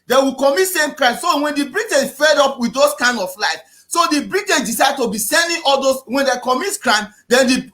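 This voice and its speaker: Man, Nigerian accent, very high voice, preachy, repetitive, shouts often. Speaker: activist speaker. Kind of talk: impassioned political talk.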